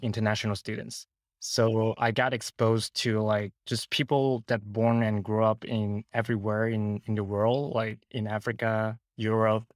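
A man with a Hong Kong accent speaks in a fairly low voice, recounting his own experiences in a casual interview style. The sound is dry and close, with little room to it. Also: no echo. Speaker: podcast guest. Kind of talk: casual interview, personal storytelling.

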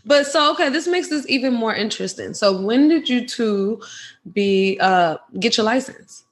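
A chatty woman. Her voice sits a bit high, and she laughs easily as she talks. She keeps the interview loose and casual. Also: expressive, moderate pace. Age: 20s. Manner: laughs often, chatty, uses fillers. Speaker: podcast host.

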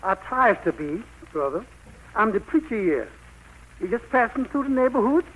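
A man's voice: high-pitched, very animated, normal speed, a bit bright. A man playing a humble, hospitable country preacher with a Southern US accent, in 1940s radio drama style. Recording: noisy vintage 1940s radio broadcast.

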